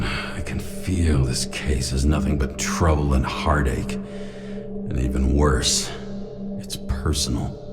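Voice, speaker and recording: An actor playing a weary, cynical noir detective in a video game, terse and haunted, with a gravelly voice. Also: male voice, rumbling low. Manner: brooding, terse, world-weary. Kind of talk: noir voice-over and game dialogue. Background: prominent music.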